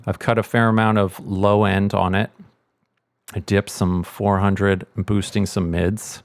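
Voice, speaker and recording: Man, lowish voice, dry recording, casual tutorial delivery, informal thinking aloud. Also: flat delivery, unhurried, no room sound. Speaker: sound mixer giving a tutorial. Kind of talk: casual software demonstration.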